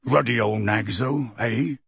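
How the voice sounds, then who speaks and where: A man voicing a gruff, defiant but big-hearted half-giant gamekeeper in an audiobook, with a gravelly, husky voice and blunt rustic speech. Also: medium pitch, unhurried, a bit bright, dry recording, studio quality, heavily acted. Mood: dismissive.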